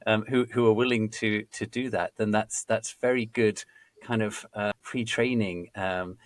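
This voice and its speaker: Low-voiced man, halting podcast host. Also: steady tone, no room sound. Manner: hesitant, many restarts and fillers. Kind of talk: podcast interview.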